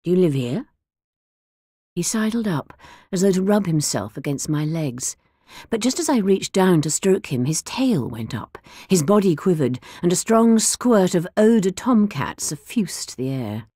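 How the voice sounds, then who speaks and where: Husky, low-voiced woman reading a story. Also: dry recording, studio quality. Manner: measured, expressive storytelling. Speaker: audiobook narrator. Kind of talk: scripted first-person narration. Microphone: close studio mic.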